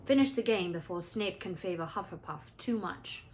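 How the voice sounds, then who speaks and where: An earnest schoolboy team captain from a storybook, voiced by a woman. He urges in a hurried, pressing way, with some noise in the recording. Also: on the low side, animated, darkish tone, lightly acted. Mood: tense urging.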